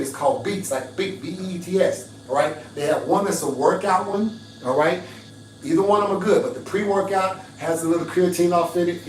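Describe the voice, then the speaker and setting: A raspy-voiced middle-aged man on a livestream, talking straight to his viewers in an emphatic, preachy way. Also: a little high, distant mic. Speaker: fitness livestreamer. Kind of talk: casual livestream pitch to viewers. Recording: roomy livestream audio from off-mic with faint hum.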